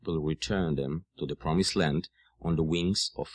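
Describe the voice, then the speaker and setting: A man from Israel with an Israeli accent, a native Hebrew speaker, explaining folk music as an interview guest in a halting, word-searching way. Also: extremely low pitch, no room sound, clean studio sound. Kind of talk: radio interview conversation.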